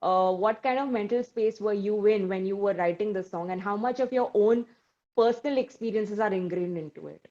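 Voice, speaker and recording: A woman's voice: medium pitch, moderate pace. Lady interviewer gushing through long questions, kinda dull lo-fi sound. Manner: warm, effusive praise with many fillers. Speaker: interviewer host.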